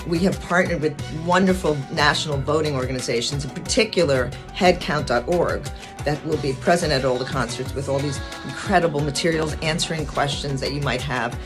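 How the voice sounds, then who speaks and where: Female narrator, earnest and measured, over a clear music bed. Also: low-pitched. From Ecuador. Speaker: singer and activist. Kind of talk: scripted promo narration.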